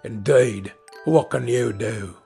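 A man as a slow, brutish storybook carter. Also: mid-range voice, gravelly, warm tone, faint music behind. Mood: scornful. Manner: grumbling, scornful. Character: brutish, scornful, grumbling male carter in a fairy tale.

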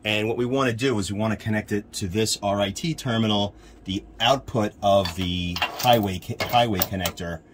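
A man giving an informal hands-on equipment demonstration, haltingly thinking aloud as he handles the gear. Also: fairly low pitch. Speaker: radio hobbyist demonstrating equipment. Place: inside a military-style off-road vehicle. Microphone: handheld camera, one hand free. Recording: noisy handheld camera audio.

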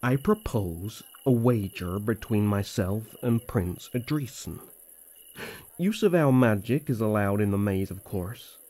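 A man's voice: lowish voice, lively intonation, a little slow, warm tone, slightly rough. A man voicing a playful, cocky young elf prince with a teasing, courtly way of talking, in a fantasy audio roleplay.

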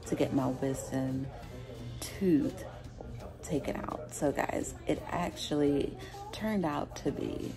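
Young woman chatting softly into her phone on the couch, low voice, lots of ums, music in the background. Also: takes it slowly. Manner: soft, low-key, rambling with fillers. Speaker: vlogger, stay-at-home mom. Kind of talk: quiet casual chat to camera. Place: indoors on a couch at home. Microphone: phone at arm's length.

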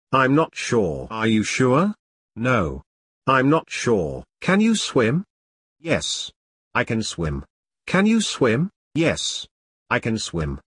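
A man slowly reading short English practice questions and answers aloud, each one twice, in a measured, deliberate way. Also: medium pitch, breathy edge, no room sound, studio quality. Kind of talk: slow scripted drill reading.

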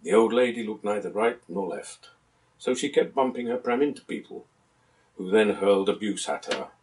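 A man in his seventies reading a literary passage aloud in a measured, articulate storytelling manner. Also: fairly low pitch, animated, a little mellow. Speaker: reader of a book passage. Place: small book-lined room at home, indoors. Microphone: camera a few metres away. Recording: distant camera microphone in a room.

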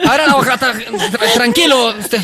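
Man acting a high-pitched, panicking pet owner. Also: normal speed, sharp tone, shouting, heavily acted. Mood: flustered. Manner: stammering, frantic, repetitive pleading. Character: panicking, frantic ostrich owner pleading for veterinary help. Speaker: radio prank caller. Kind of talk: improvised phone prank.

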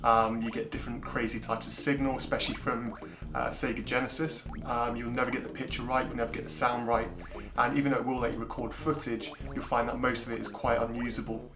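Deep-voiced young man, roomy. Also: fast talker. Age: young adult. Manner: frequent ums, steady explaining tone. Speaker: retro gaming YouTuber. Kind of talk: casual tutorial talk to camera. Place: small indoor room. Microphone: camera-mounted shotgun mic. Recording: roomy echo with guitar music behind. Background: guitar music.

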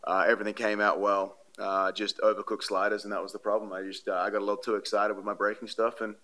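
A man's voice: fairly low pitch, little pitch movement, quick pace, pushed and bright. A man, answering reporters' questions at a podium in a press room, rambling and earnest with many fillers and restarts. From Australia. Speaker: baseball pitcher at a postgame press conference. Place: press room before a sponsor backdrop. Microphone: podium microphones.

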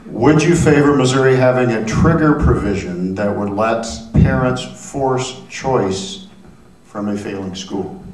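A man moderating a panel, briskly reading out questions on a theater stage. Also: medium pitch, darkish tone. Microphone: handheld mic. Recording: roomy, distant, faint noise.

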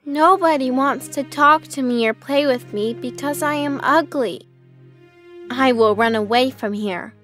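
A woman voicing a lonely young duckling in an animated fairy tale. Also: high-pitched, a little slow, soft background music. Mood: forlorn.

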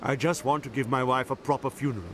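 Grieving husband, male actor: medium-pitched, polite, formal, dignified game character amid faint city ambience. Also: steady tone, fast talker, noisy background, close to an everyday voice. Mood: sorrowful. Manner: courteous, formal. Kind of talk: video game voice acting.

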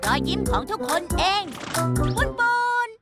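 A woman's voice: a little slow, over loud music, natural voice. A woman voicing a cheerful, childlike robot school bus in a children's cartoon, bubbly and encouraging. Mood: confident, cheerful.

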